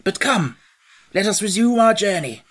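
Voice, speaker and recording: A woman voicing a cheerful, pompous male scarecrow king in a storybook, with a bright and grandly reassuring manner. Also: mid-range voice, expressive, moderate pace, pitched above the performer's own voice, lightly acted. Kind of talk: audiobook character voice.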